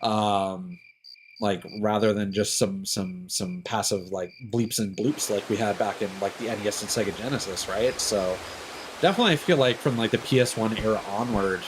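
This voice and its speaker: Opinionated streamer guy over clear background noise. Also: fairly low pitch, moderate pace. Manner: opinionated, rambling. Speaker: live streamer hosting a game stream. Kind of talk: casual livestream chat.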